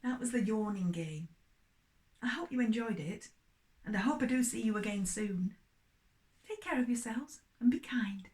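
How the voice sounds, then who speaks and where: A woman's voice: a little high, expressive, normal speed, long pauses, a little mellow. An older woman telling a children's story expressively, addressing small children.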